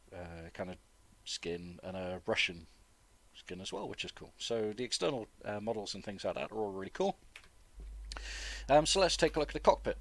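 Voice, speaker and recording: A man, chesty with a cold, giving relaxed, informal and rambling flight simulator commentary as a video host. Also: on the low side, no room sound. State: getting over flu, chesty cough. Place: dry close-miked voice-over indoors.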